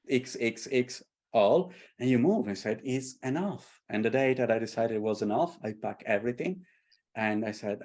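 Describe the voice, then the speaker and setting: A middle-aged man from Italy with a strong Italian accent, telling stories with passion in a casual conversation. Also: mid-range voice. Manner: passionate, animated storytelling. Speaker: artist and tarot creator. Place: London.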